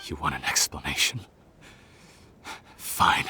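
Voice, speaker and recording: A man's voice: extremely low pitch, slow, sharp tone, husky, full character voice. An actor playing a bitter, scornful male criminal who talks with sarcastic defiance. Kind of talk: game voice acting.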